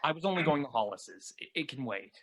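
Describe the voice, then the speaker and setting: A man playing an awkward, kindly friend in a fan-made drama, nervous, gentle and stammering, on a noisy recording. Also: mid-range voice, animated, fast, slightly rough. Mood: gentle concern. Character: awkward, kindly male friend, eager to help. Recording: noisy, clicky remote-call recording.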